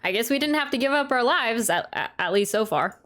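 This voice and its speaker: A woman chatting casually as she streams and reacts to a video game, in an informal, rambling, halting way. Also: a little high, lively intonation, a bit bright. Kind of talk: casual live reaction chat.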